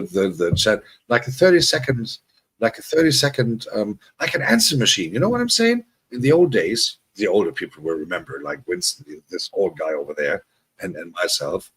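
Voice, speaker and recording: Husky man rambling on a livestream. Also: lowish voice. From Germany. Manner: rambling, restarts sentences, self-deprecating. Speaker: livestream host. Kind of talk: casual livestream chat.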